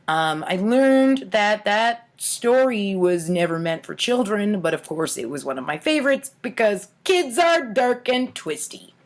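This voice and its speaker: An adult woman hosting a storytime, reading in a tongue-in-cheek manner with casual asides. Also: mid-range voice. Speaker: host of a storytime series reading own childhood writing.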